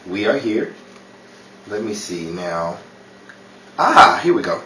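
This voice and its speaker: A man's voice: on the low side, very animated, slow, sharp tone, heavily acted. Flirtatious, self-assured Parisian charmer, played by a man. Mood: playfully flirting. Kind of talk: old-time radio romantic comedy acting. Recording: roomy, distant, noisy audience recording.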